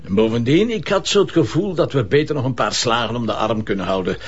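A Flemish actor playing a shrewd, sceptical police commissioner in a naturalistic crime drama, talking in a colloquial, probing way. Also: male voice, medium pitch, lively intonation, quick pace, slightly rough. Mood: sceptical, wry. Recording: muffled sound with faint noise.

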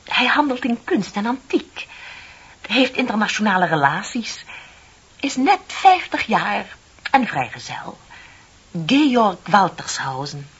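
An actress in a radio play voicing a middle-aged single woman, gushing, dramatic and romantically deluded, confiding in breathy swells. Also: mid-range voice, sing-song, slow, long pauses, heavily acted. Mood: smitten. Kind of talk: Dutch radio play acting. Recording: dull, lo-fi radio play recording.

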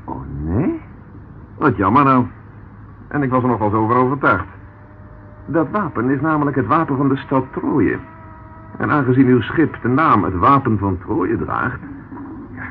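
Sardonic police inspector, tinny old radio. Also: male voice, mid-range voice, sing-song, normal speed, pauses often. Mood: sardonic, probing. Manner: mocking, needling, mock-polite. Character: sardonic, dogged male London police inspector. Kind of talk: radio drama acting. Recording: tinny, noisy old radio play recording.

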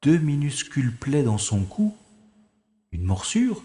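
A man's voice: mid-range voice, very animated, takes it slowly, mellow, breathy edge, no echo, exaggerated voice. A man playing a wry, cocky secret agent. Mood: curious. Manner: dry, ironic, nonchalant. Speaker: narrator-hero. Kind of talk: audio drama acting.

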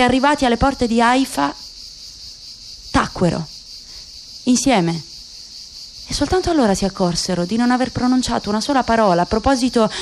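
A woman delivering dramatic literary narration for a radio play, with measured, deliberate phrasing and pauses. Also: high voice, a little hiss or hum.

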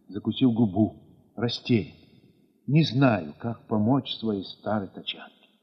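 Slow, husky wartime storyteller. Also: male voice, mid-range voice, long reverb tail, highs cut off. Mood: anxious, grave. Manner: measured, emotive, with dramatic swells and hushed drops. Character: war-weary male dance ensemble leader recalling besieged children, tender. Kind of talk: dramatic literary monologue in an audio play.

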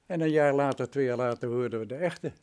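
A man's voice: mid-range voice, quick pace, darkish tone, clear, resonant tone. A man in his nineties from the Netherlands, reminiscing in an interview as a retired radio drama director, with vivid examples and rhetorical questions. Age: 90s.

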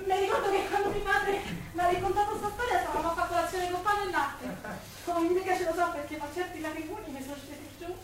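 Echoey, high-voiced Roman woman. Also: steady tone, fast talker, far from the mic. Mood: wistful, tearful. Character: emotional working-class woman of wartime Rome, wistful storyteller. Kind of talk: stage drama acting, colloquial dialect. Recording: echoey stage from afar, noisy.

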